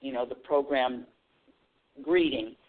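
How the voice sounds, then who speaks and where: A woman's voice: rumbling low, takes it slowly. A woman hosting a spiritual call-in radio show, talking earnestly and often restarting her phrases. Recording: tinny phone-line audio.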